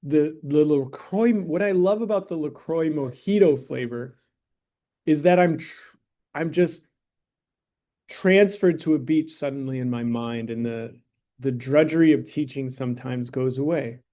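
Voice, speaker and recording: A man's voice: a little high, a little slow, mellow. Male math teacher in a classroom, conversational and jokey, keeps asking "right?". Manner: joking, conversational, checks in with "right?" and "okay?". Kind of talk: classroom lesson with a mock sponsor plug.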